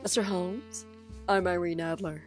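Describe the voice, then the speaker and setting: An actress playing a confident, alluring and mysterious stage actress who comes as a client in an audio drama, poised and dramatic. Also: female voice, fairly low pitch, fairly even intonation, unhurried, over music, natural voice.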